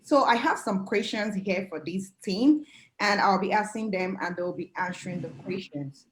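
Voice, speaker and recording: A woman's voice: mid-range voice, normal speed, slight background noise. Young woman hosting a panel, friendly and chatty, voice bouncing around an echoey room. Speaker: interview host. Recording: roomy echo.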